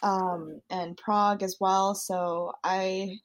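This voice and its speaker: A woman's voice: mid-range voice, little pitch movement, takes it slowly. A young woman in her twenties, originally from South Korea, answering podcast interview questions fluently and thoughtfully, with some um fillers. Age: 20s. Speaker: student guest.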